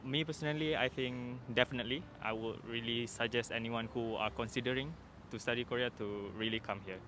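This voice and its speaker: A man's voice: medium pitch, fairly even intonation, brisk, noisy background. A man in his twenties with a Malaysian accent, a student answering casually in an outdoor interview. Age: 20s. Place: outdoors on a campus.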